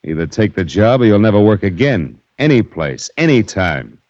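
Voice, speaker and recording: A slick, domineering crime boss who gives orders with quiet menace. The voice is on the low side, in 1950s radio drama style. The old recording is dry and dull. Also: male voice, sing-song, moderate pace, no room sound. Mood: firm, threatening. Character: domineering, menacing male boss of a car-theft gang.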